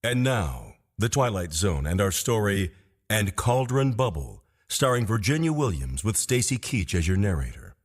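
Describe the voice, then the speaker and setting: A formal male radio drama announcer. His lowish voice has a rough, husky texture. His delivery is scripted and strongly inflected. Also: very animated, moderate pace. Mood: formal, dramatic.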